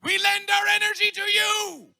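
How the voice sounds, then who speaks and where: A man's voice: very high-pitched, level tone, moderate pace, vocal fry, sharp tone, shouting. A man emceeing a music festival, bellowing comic band introductions full of absurd wordplay. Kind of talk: shouted comic stage introductions.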